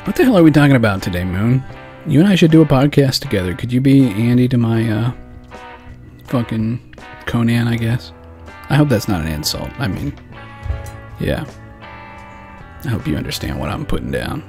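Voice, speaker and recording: A man's voice: mid-range voice, sing-song, normal speed, long pauses, a little mellow. A man hosting a loose, rambling late-night show, swearing casually as he chats and reads a novel aloud. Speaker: podcast host. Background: faint background music.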